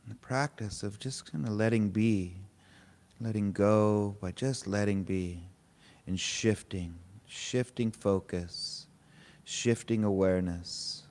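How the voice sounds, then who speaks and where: A man leading a guided meditation, speaking in short, unhurried, gently informal phrases with pauses between them. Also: fairly low pitch. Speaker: meditation teacher. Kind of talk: guided meditation, spoken softly to a group.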